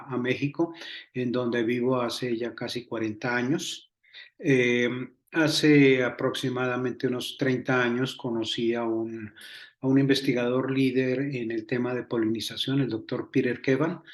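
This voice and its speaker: Older man, measured, hesitant scientist guest. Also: medium pitch, roomy sound. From Colombia. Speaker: entomologist guest. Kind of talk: interview answers.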